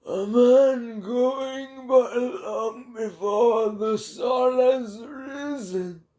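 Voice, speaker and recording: A man voicing a frail, mournful old hermit saint in a dramatic book reading, with a quavering, halting, theatrical delivery. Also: extremely high pitch, warm tone, full character voice. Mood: plaintive, wistful. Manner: theatrical, very slow, halting, drawn-out. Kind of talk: dramatic audiobook character reading.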